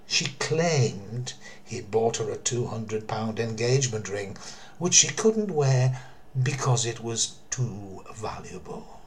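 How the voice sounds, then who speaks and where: An older man narrating a true mystery story in a theatrical, deliberate way, with emphasis and dramatic pauses. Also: fairly low pitch, lively intonation, slight background noise. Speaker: storyteller, former theatre worker.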